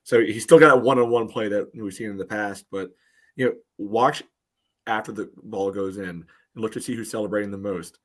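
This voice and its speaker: Low-voiced man analyzing soccer. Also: brisk, a bit bright. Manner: explanatory, peppered with "you know". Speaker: soccer podcast panelist. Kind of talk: casual match analysis.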